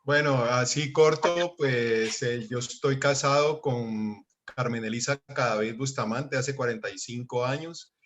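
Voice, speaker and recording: A man's voice: mid-range voice, a little slow, a bit bright, slight background noise. A man in his sixties introducing his family plainly on a video call. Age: 60s. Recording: dull lo-fi call audio.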